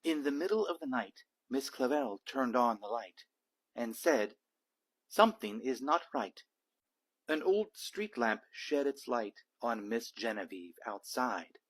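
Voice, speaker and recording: A man reading a children's picture book aloud as a dad would, in measured, rhythmic rhyme. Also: mid-range voice, lively intonation, rough recording. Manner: measured, rhythmic rhyming delivery. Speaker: read-aloud storyteller, a dad.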